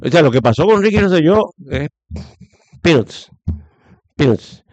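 A Puerto Rican man with a Puerto Rican accent, giving forceful opinions as a guest, with lots of fillers and restarts. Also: a little high, very animated, measured pace, dry recording, muffled recording. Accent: Puerto Rican Spanish. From Puerto Rico. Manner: emphatic, many fillers and restarts. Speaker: guest commentator. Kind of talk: opinionated conversational commentary.

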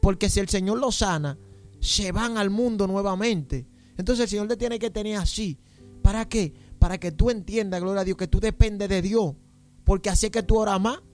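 A man's voice: high-pitched, moderate pace, a little mellow, faint music behind. A man preaching a fervent sermon, pleading and calling out praise between phrases. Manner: exclaims "gloria a Dios" and "aleluya" constantly, pleading. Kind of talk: fervent Pentecostal-style sermon.

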